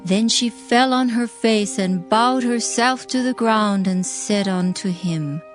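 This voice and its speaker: Mid-pitched woman narrating scripture. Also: takes it slowly, faint music behind.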